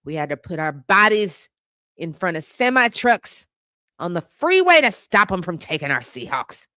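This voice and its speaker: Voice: mid-range voice, sing-song, moderate pace, pushed and bright, dry recording, studio quality. A livestream host chatting casually and off the cuff with viewers, opinionated and sassy, in colloquial language. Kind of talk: casual unscripted chat, reacting to comments.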